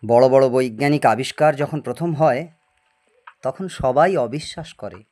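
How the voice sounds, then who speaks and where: A man's voice: medium pitch, a little slow, a little mellow, lightly acted. A man playing a boastful, eccentric older scientist in an audio story, lecturing and teasing in a grandiose way. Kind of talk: dramatised audio story acting.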